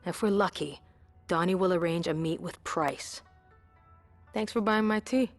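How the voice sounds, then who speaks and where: A woman's voice: on the low side, measured pace, faint music behind. A woman playing a tough, brash street racer in a video game, assertive and wry. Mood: scheming, brisk. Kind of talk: video game cutscene acting.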